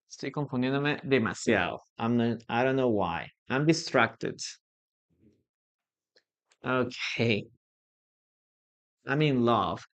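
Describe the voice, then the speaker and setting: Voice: medium pitch, a bit bright. A native Spanish-speaking English teacher from Peru with a Peruvian accent, leading a live online class and patiently repeating key phrases.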